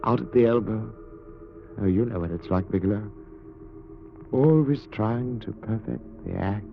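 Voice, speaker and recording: An actor with a British accent playing an obsessive, possessive ventriloquist in an overwrought, theatrical 1940s radio style. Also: male voice, fairly low pitch, unhurried, creaky, faint music behind. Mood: obsessive, aggrieved. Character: unhinged, possessive male ventriloquist obsessed with his dummy. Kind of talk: 1940s old-time radio acting. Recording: muffled, noisy vintage radio recording.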